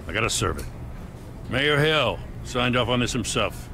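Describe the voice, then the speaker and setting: An American actor playing a middle-aged, dutiful police officer in a video game, measured and matter-of-fact. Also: male voice, medium pitch, big pitch swings, creaky, pushed and bright, a little hiss or hum, exaggerated voice. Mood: matter-of-fact, grave. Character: plain-spoken, dutiful police officer serving a warrant. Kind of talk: dramatic game dialogue.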